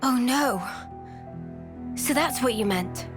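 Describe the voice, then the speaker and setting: An actress voicing a gentle, caring young female soldier in a video game cutscene. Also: a little high, lively intonation, slow, over music. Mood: dismayed.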